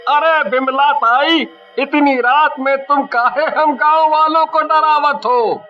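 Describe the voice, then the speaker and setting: A man playing an excitable, fretful rustic villager with drawn-out exclamations and village dialect in a horror cartoon. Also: very high voice, dull audio, faint music behind. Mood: complaining. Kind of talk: Hindi horror cartoon voice acting.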